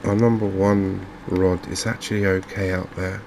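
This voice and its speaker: A man speaking softly and unhurriedly in an ASMR style, murmuring his way through video game commentary as a streamer. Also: low-pitched, level tone, creaky, a little hiss or hum. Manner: soft-spoken, unhurried, murmuring to himself.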